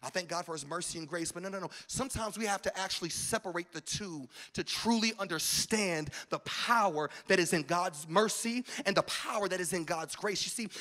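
A high, bright-voiced man preaches to a congregation from a church stage, holding his mic close. He surges into shouted calls and then drops to soft, hushed confession. He is a pastor in his forties. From United States. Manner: swings from shouted preaching to hushed intimate talk. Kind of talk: sermon. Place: large church stage with audience. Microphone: handheld mic.

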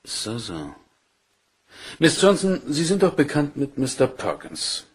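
Probing, husky police inspector. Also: male voice, mid-range voice, huge pitch swings, heavily acted. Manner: measured, probing, courteous questioning. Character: probing, polite male Scotland Yard police inspector. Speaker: actor. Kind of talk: crime radio play dialogue.

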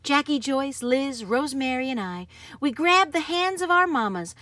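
A woman reading a picture book aloud in an expressive, dramatic manner. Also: sits high, moderate pace, dry recording. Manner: animated, dramatic, varies from hushed to exuberant. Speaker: storybook reader.

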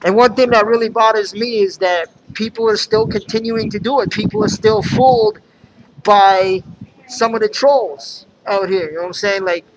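A man's voice: sits high, moderate pace, slight background noise, rough recording. A man, a musician, speaking earnestly at an outdoor vigil, often asking "you know what I'm saying?". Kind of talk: impassioned street speech. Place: outdoor square in front of city hall.

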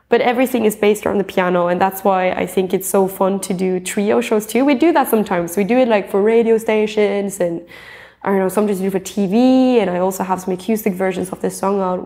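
A woman in her twenties from Norway, a singer-songwriter talking in a relaxed interview, earnest and chatty, with fillers and restarts. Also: medium pitch, brisk. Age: 20s. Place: dim indoor room, seated in an armchair.

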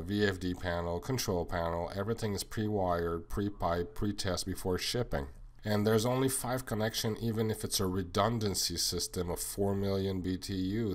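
A man from Quebec with a French-Canadian accent, French his first language, earnestly explaining a slide presentation item by item. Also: low-pitched. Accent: Québécois French. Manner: earnest, plain explanatory, lists items one by one. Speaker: sales manager.

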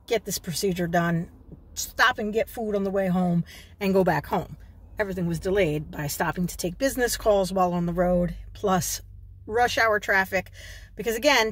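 A chatty woman from New York talks into her phone from the driver's seat of a parked car. Her voice is slightly husky, and her pitch sits in an ordinary middle range. She rambles through her story and wanders off on side tangents. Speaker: vlogger. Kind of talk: casual car vlog. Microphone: phone at arm's length.

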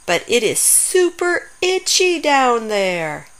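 A woman's voice: fairly high pitch, sing-song, slow, a bit bright, exaggerated voice. A woman voicing a feisty, indignant little pterodactyl girl who protests, in a children's storybook.

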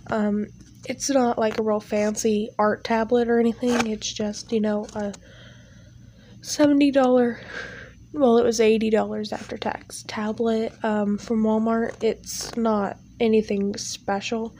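Slow, rambling young woman, nasal. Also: fairly high pitch, little pitch movement, mellow, slight background noise. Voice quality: nasal, stuffy from allergies. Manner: rambling, many ums and fillers. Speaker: art channel creator. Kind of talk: casual voiceover over a speed paint. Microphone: home recording setup.

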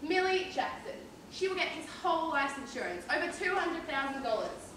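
A woman's voice: sits high, lively intonation, a little fast, a bit bright. A woman playing an aggrieved, gossipy care-home owner with a confiding manner in an amateur stage play. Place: large theatre stage, indoors. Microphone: far camera. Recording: echoey theatre from far off, noisy.

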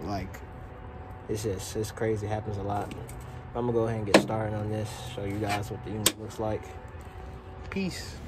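A man's voice: lowish voice, completely flat delivery, brisk. A young man chatting casually and informally in slangy speech, as a technician vlogging on the job. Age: young adult. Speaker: rookie HVAC technician vlogging. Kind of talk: casual vlog chat. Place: outdoors beside air-conditioning units and vehicle. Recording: very noisy outdoor phone audio, vehicle sounds.

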